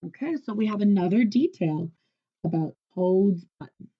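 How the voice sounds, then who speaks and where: A woman teacher reading a children's story aloud in an expressive, instructional way, often stopping to ask questions. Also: medium pitch, moderate pace, breathy edge, highs cut off.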